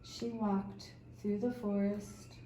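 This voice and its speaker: A woman telling a scripted story for young children in measured, deliberate phrases with long pauses, recorded in a roomy space away from the mic. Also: medium pitch, little pitch movement, warm tone. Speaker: storyteller for a kindergarten puppet show. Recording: roomy, distant mic with faint noise.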